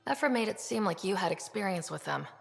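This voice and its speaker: A woman's voice: mid-range voice, animated, fast talker, creaky, husky, in a large hall, full character voice. An actress playing a confident, direct young female space explorer in a video game, frank and plainspoken. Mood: casual, probing. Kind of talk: video game cutscene acting.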